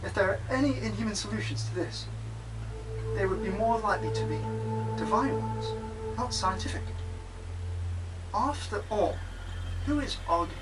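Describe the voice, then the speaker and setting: A man's voice: high voice, expressive, moderate pace, pauses often, over music, low-quality recording. A man playing an anxious, polite doctor in an amateur audio drama, with chatty, old-fashioned turns of phrase. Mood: musing. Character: anxious, polite British male doctor, fretful scientist.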